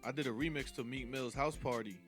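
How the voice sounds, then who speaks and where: A man in his thirties from Jamaica, a guest on a radio show, talking in an easygoing, relaxed way. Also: mid-range voice, fairly quick, soft background music. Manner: easygoing, relaxed, says "you know what I'm saying" often. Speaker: guest rapper and videographer. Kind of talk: casual interview.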